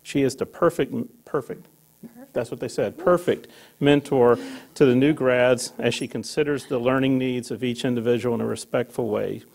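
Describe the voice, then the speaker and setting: A man's voice: mid-range voice, fairly even intonation, moderate pace, creaky, darkish tone. A man presenting an employee award at a public meeting, reading the citation aloud with small fillers. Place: meeting room.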